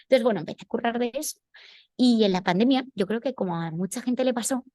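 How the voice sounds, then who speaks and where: A woman's voice: fairly high pitch, quick pace, mellow, no room sound, studio quality. A woman, a podcast guest talking chattily with many fillers and restarts. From Spain. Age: 20s. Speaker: podcast guest, newsletter writer. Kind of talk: casual interview chat.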